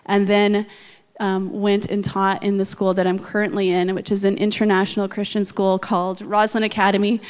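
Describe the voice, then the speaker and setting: A woman from Canada who works as a teacher introduces herself to a church congregation. She talks casually, with light fillers. Her voice is smooth and clear, mid in pitch, with a long hall reverb behind it. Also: flat delivery, moderate pace. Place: church sanctuary.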